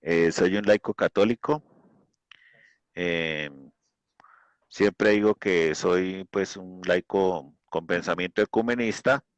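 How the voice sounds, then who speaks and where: A man with a Colombian accent introducing himself as a lay debater in a religious debate, unscripted, measured and conciliatory, repeating phrases. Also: sits low, no echo, highs cut off. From Colombia, Boyacá.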